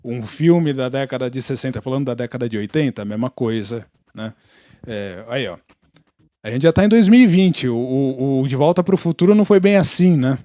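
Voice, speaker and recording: A man's voice: mid-range voice, lively intonation, moderate pace, mellow, dry recording. A Brazilian man chatting casually on a podcast, explaining things in an opinionated, informal way. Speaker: podcast participant. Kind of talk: casual pop-culture chat.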